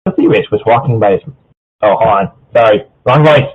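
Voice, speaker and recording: Husky man narrating over a phone-like line. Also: lowish voice, brisk. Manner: breaks off to joke with co-reader. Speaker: narrator of fan fiction. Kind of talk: storybook narration with casual banter. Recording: thin phone-like voice chat sound.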